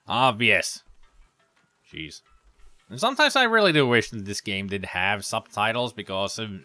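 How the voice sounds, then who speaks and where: A man's voice: medium pitch, bright, forceful tone, no echo. A man giving casual, rambling commentary over gameplay, with sarcastic asides. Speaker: let's play commentator.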